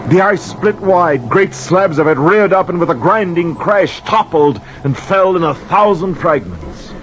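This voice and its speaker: A man's voice: high voice, big pitch swings, unhurried. An actor playing a guilt-ridden sailor telling his tale in solemn, archaic words in old-time radio drama style. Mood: awed, exultant. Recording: muffled, noisy vintage radio recording.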